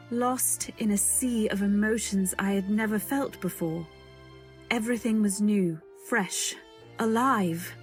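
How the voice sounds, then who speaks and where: A woman playing a dreamy, naive young newlywed, narrating in measured phrases in an old-time radio drama style. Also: medium pitch, lightly acted. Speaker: narrator and lead. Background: faint music.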